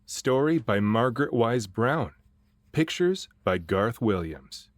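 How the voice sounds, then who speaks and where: A man reading a children's picture book aloud in an expressive way, drawing out phrases for dramatic emphasis. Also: mid-range voice, slow, no room sound. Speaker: storybook reader and voice actor.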